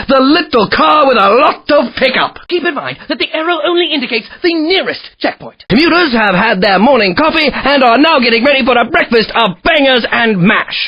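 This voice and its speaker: Video game race announcer: very high-pitched, husky, wry and theatrical, on dull lo-fi audio. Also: male voice, full character voice. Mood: excited, impressed, then brisk, informative. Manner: theatrical, florid, emphatic sportscaster delivery. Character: excitable, wry male sports announcer at street races.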